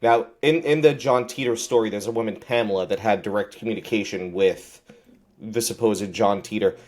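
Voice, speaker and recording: A man with a low voice co-hosts a conversational podcast. He argues his point with energy, then keeps admitting he might be remembering it wrong. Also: normal speed. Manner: animated, repeatedly second-guesses himself. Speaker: podcast co-host.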